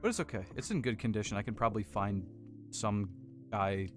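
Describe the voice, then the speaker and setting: An American man with a deep voice chatting casually and rambling a little as he hosts a livestream on a close desk microphone. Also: a little fast, soft background music. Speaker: livestream host building model kits. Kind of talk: casual chat with live viewers. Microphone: close desk condenser microphone.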